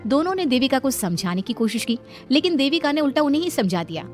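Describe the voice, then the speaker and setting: A woman's voice: high voice, big pitch swings, fast talker, soft background music. A woman reading out a scripted moral story as its narrator.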